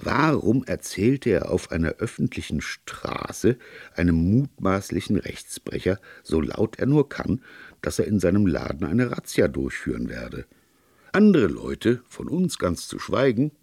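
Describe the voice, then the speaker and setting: A man's voice: low-pitched, very animated, a little slow, creaky, darkish tone, husky, dry recording, pitched above the performer's own voice, full character voice. A man voicing a blunt, puzzled police inspector who questions things emphatically in an audiobook story. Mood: puzzled, exasperated.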